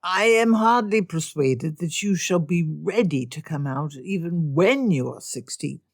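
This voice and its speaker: A woman voicing a weary father with dry, deadpan wit. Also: low voice, a little slow, no room sound, exaggerated voice. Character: weary dry-witted father of five daughters.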